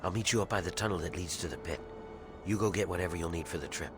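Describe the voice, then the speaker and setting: Man playing a deep-voiced, grim rebel slave. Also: fast, music in the background, natural voice. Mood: grim, matter-of-fact. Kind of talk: video game character dialogue. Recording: clear ambient noise behind voice.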